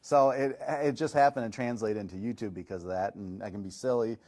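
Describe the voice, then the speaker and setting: A man, a chiropractor, guesting on a podcast, telling stories in a relaxed, self-deprecating, fairly monotone way. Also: fairly low pitch, fairly quick. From Michigan. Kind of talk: podcast interview.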